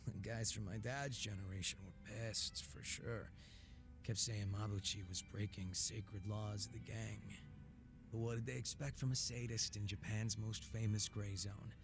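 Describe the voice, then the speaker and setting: A man's voice: on the low side, fairly even intonation, measured pace, creaky. A man playing a laid-back, sardonic former gang boss in a video game cutscene, talking casually with teasing and profanity. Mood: matter-of-fact. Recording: music under the dialogue.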